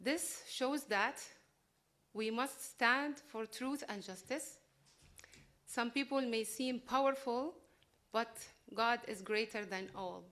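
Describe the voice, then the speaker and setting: A woman reading prepared remarks to a gathering in an earnest, deliberate way. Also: a little high, a bit bright. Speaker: invited speaker.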